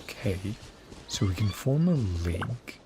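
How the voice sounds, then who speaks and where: Low-voiced gravelly man streaming a game. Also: very animated, takes it slowly, noisy background. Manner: mutters to self, sings syllables, thinks aloud. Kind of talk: casual gameplay commentary. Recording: game music behind voice. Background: game music.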